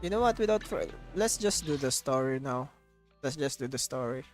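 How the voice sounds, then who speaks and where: A man's voice: mid-range voice, expressive, moderate pace. A man livestreaming a game, chatting casually in a rambling, repetitive, slangy way. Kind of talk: casual livestream chat over gameplay. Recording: loud game music behind. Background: game music and audio.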